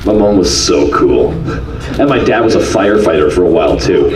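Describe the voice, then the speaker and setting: A man's voice: sits low, brisk, crowd noise. A man talking casually and jokingly on a convention panel, recorded from the audience in a large hall. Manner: joking, animated. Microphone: table mic, recorded from the audience. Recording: echoey hall from far off, audience noise.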